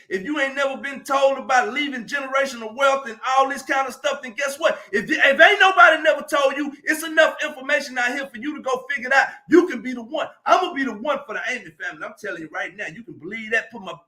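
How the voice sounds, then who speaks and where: High bright-voiced preachy man. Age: 40s. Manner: preachy, emphatic, often raising his voice. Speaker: motivational speaker. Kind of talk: motivational live stream talk.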